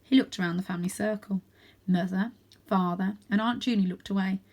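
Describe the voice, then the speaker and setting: A woman with a mid-pitched voice reads a children's story aloud. She delivers it as scripted narration, as a storybook reader. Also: fairly quick, a little mellow.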